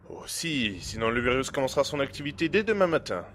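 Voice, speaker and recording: A man playing a cold, menacing blackmailer in an audio drama, speaking in a smooth, condescending and formal way. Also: mid-range voice, big pitch swings, fast, a bit bright, a little hiss or hum. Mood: coldly threatening. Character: cold, menacing male blackmailer and hacker, smugly in control. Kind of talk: amateur audio drama acting.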